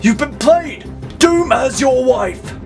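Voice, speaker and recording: Guy doing a quippy young superhero, high energetic voice, wisecracks, music under. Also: slow, exaggerated voice. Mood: urgent. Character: quippy, urgent young male web-slinging superhero.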